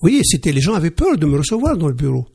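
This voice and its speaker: Male radio guest, husky and gravelly, hesitant but emphatic. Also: fairly high pitch, sing-song, quick pace, mellow. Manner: hesitant, repeats words, emphatic. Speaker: interview guest, car dealer. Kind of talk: radio interview.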